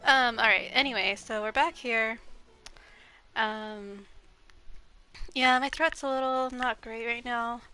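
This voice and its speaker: A woman's voice: a little high, steady tone, takes it slowly, long pauses, pushed and bright. A young woman streaming a video game from home over a headset, a little congested, rambling casually with frequent ums and giggles. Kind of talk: casual gameplay live stream chat. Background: faint game music.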